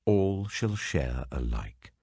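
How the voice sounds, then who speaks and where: A man voicing a firm, fair ancient war leader in a dramatic scripture reading, commanding and deliberate. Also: rumbling low, very animated, dark tone, slightly rough, dry recording, studio quality, full character voice. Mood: firm, admonishing.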